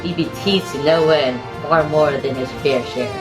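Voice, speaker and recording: A woman's voice: sits low, steady tone, normal speed, loud music behind the voice. A woman narrator reading rhyming, scripted storybook narration in a theatrical storytelling manner.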